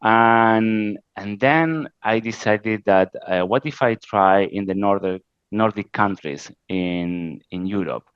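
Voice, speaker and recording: A middle-aged man from Spain talks in accented, halting English, often stopping on "uh" as he searches for words. His voice sits low, and the recording is dry and a little muffled. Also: clear, resonant tone, dry recording. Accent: Spanish. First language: Spanish. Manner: halting, frequent "uh" fillers, non-native grammar. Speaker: interview guest, creative director. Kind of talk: casual interview answers.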